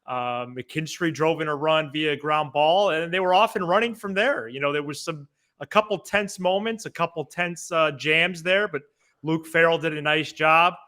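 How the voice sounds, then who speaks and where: A man with a bright, forward voice on the higher side co-hosts a sports podcast. His casual commentary comes out haltingly, with words repeated as he gathers the next thought.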